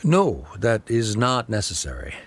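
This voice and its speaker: Formal, reserved scholar father with expressive intonation. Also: on the low side, a little slow, slight background noise. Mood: reflective, reserved. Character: stern, formal middle-aged scholar father, reserved and proud. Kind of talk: video game voice acting.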